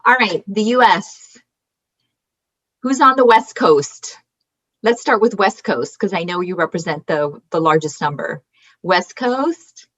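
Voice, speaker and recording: Husky woman hosting a video call. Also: fairly low pitch, lively intonation, a little slow, fairly bright tone. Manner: upbeat, chatty, laughing. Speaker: conference co-host. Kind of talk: casual roll call greeting. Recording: dull, lo-fi video call audio.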